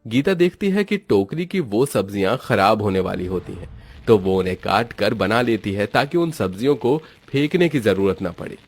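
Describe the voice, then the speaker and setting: A man's voice: lowish voice, lively intonation, moderate pace, soft background music. A man narrating a scripted cartoon story as its storyteller.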